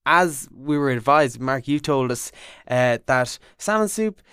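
A man's voice: mid-range voice, lively intonation, a little slow, bright, forceful tone, clean tone, no room sound, clean studio sound. Young Irish man chatting on radio. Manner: chatty, hesitant with many fillers and restarts. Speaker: trainee reporter and co-presenter. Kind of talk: casual radio chat.

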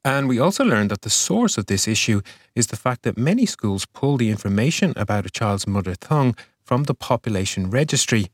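A man hosting a news podcast, reading a scripted review of the year in a measured way. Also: medium pitch, sing-song, no echo, clean studio sound.

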